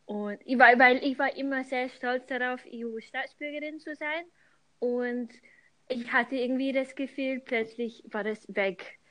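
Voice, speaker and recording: A woman from London co-hosts a casual podcast over a remote call. Her slightly high voice comes out hesitantly, with restarts and fillers. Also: unhurried, pushed and bright. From London, England. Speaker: podcast co-host.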